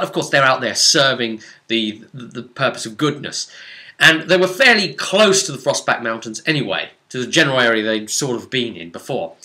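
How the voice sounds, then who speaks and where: Man with a bright, forceful voice, storytelling. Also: mid-range voice. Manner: storytelling with rhetorical asides to the audience. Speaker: game master. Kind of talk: recounting a tabletop fantasy game session.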